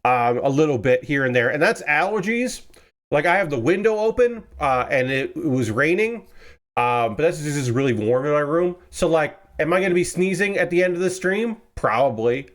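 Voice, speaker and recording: A young man with a somewhat high-set voice chats loosely with his livestream audience from his room. He rambles, trips over his words and corrects himself, and he still sounds a little stuffy after a cold. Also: animated, normal speed. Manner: rambling, self-correcting, chatty with viewers. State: slightly congested, recovering from a cold. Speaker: streamer building model kits. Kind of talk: casual livestream chat. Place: his room with window open.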